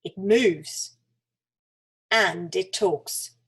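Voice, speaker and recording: A woman reads a children's story expressively, with dramatic pauses and deliberate emphasis. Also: mid-range voice, creaky, slightly rough.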